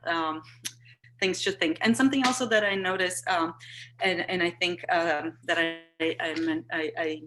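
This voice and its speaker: Hesitant, low-voiced woman, Portuguese native. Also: measured pace, a bit bright. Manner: hesitant, restarting often while searching for words. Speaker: workshop participant. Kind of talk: casual discussion on a video call. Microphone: video call microphone.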